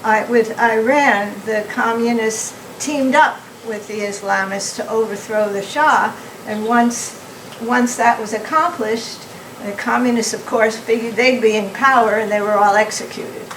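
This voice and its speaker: A soft-voiced woman in the audience asking an earnest, measured question. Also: fairly high pitch. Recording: echoey hall, distant mic, faint noise.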